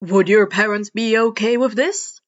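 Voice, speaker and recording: A woman's voice: medium pitch, measured pace, lo-fi sound, natural voice. A woman voicing an upbeat, earnest adult male hero teacher who explains things emphatically. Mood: encouraging, earnest.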